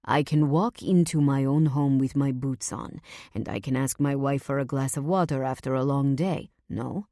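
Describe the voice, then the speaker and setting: A woman voicing a huge, domineering husband who speaks low, quiet and measured. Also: steady tone, no echo, clean studio sound. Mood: calm, possessive. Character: big domineering husband, calm and possessive.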